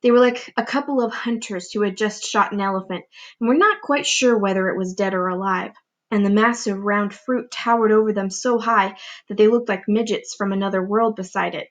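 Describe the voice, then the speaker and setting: A woman reading a children's storybook aloud as a teacher would, with expressive, deliberate narration. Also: medium pitch.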